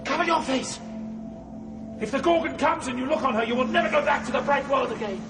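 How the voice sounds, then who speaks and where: An actor playing a wise, fatherly spirit guide in an audio drama, measured and authoritative. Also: extremely high pitch, over music. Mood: urgent.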